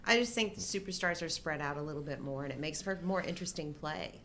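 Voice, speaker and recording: A middle-aged woman speaks conversationally as co-host of a live show. Her voice is somewhat low for a woman. A light layer of ambient noise sits behind her. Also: fast, a bit bright. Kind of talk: casual chat.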